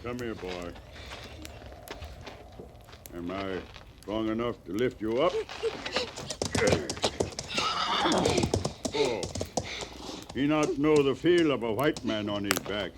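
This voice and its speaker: An actor playing a proud, grave Cheyenne warrior who speaks halting broken English in a radio western. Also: male voice, lowish voice. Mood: gentle. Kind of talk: 1950s radio western acting. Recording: noisy old radio drama recording.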